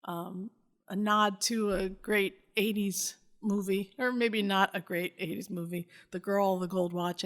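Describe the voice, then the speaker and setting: A woman's voice: medium pitch, fairly bright tone. A woman hosting a podcast, speaking informally, in casual chat or reading her own script aloud. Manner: informal, self-deprecating, sweary. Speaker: podcast host.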